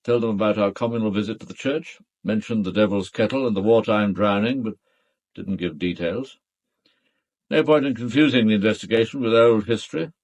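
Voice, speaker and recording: An actor with a British accent playing a reserved, unruffled gentleman in a radio drama, terse and matter-of-fact. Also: on the low side, a little fast.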